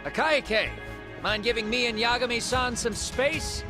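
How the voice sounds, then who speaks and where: A man's voice: extremely high pitch, unhurried, music in the background. An actor playing a brash, stubborn man on the run in a video game cutscene, speaking glibly and colloquially. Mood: breezy. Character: brash male fugitive, casual but stubbornly loyal.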